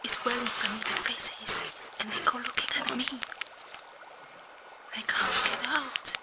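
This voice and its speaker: A woman's voice: mid-range voice, natural voice. An actress playing a frightened, doomed female scientist sending an urgent warning in clipped phrases, in a horror game audio log. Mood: panicked, resigned. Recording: faintly noisy audio-log recording.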